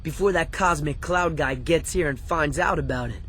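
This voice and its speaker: A man's voice: a little high, moderate pace, fairly bright tone, a little hiss or hum, close to an everyday voice. An actor playing a wisecracking, sarcastic young male sidekick in a video game cutscene. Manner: sarcastic, dry.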